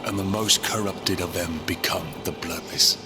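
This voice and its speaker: An actor playing a proud, bitter, battle-worn undead warrior in a video game, speaking in a dramatic, brooding way. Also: male voice, low voice, steady tone, normal speed, lightly acted. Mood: grave. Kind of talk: video game cutscene acting. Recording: loud cinematic music behind.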